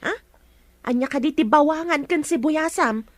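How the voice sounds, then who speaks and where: A woman's voice: high-pitched, normal speed, no echo, close to an everyday voice. A woman playing an indignant, defensive village woman with an Ilocano accent, exclaiming in a radio comedy drama.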